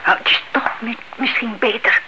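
An actress playing an anxious, stubborn old aunt in a radio drama, fretful, hesitant and insistent. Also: female voice, fairly high pitch, fairly even intonation, normal speed, natural voice. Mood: anxious, searching. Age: older. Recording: muffled, very noisy old radio recording.

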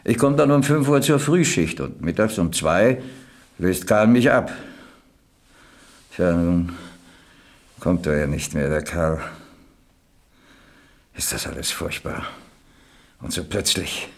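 An actor playing a plain-spoken boiler stoker who reminisces in a folksy, reflective way in a radio crime drama. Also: male voice, deep voice, lively intonation, slow pace, long pauses, heavily acted. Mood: subdued shock. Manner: folksy, ruminative storytelling.

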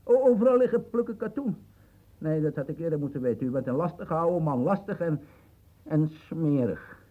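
A man's voice: a little high, animated, normal speed, narrow, tinny audio. An actor playing a fussy, hesitant, complaining man in a radio play. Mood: nagging, annoyed. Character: fussy, nagging, hesitant man obsessed with cleaning.

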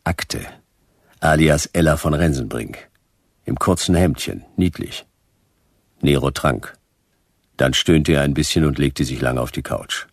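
An actor playing a laconic, hard-boiled private detective in a radio drama, narrating in terse, dry fragments. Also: male voice, very deep voice, measured pace, long pauses, slightly rough, dry recording, heavily acted. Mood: terse, watchful.

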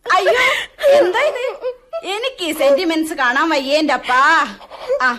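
A woman with a Malayali accent playing a cheeky, excitable teenage younger sister, playful and exclamatory, in a radio drama. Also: high voice, moderate pace, a little hiss or hum. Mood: mock dismay.

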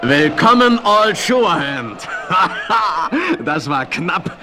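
An actor playing a hearty frontier companion in a 1970s radio play. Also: male voice, high-pitched, big pitch swings, drawn-out words, full character voice. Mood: jovial. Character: hearty frontier companion welcoming rescued men. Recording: noisy old radio play recording.

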